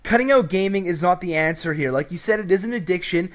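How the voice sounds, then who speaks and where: A man's voice: sits high, fast talker. A young man hosting a casual advice show, brash and joking, with some swearing. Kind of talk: casual advice commentary over gameplay.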